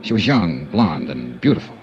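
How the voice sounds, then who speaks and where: An actor playing a hardboiled American insurance investigator who narrates in first person and talks in a terse, matter-of-fact way, in a 1950s radio drama. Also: male voice, a bass voice, sing-song, normal speed, highs cut off, crowd noise. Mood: steady. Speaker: narrator and lead detective. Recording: old radio transcription with music bed.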